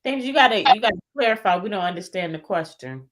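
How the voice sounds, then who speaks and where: Animated, teasing woman co-host. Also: mid-range voice, quick pace. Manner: teasing, animated, prods for the story. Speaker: talk show co-host. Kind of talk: casual chat.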